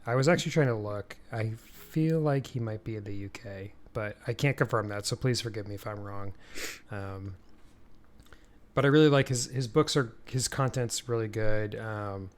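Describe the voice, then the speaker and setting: A man co-hosting a podcast in casual chat, talking in an easygoing, measured way. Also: lowish voice. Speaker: podcast co-host.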